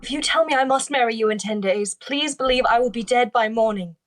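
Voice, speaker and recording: A woman's voice: on the high side, fairly quick, natural voice. A woman voicing an earnest, lovelorn young princess in an amateur table read, speaking in plain declarations. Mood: earnest resolve.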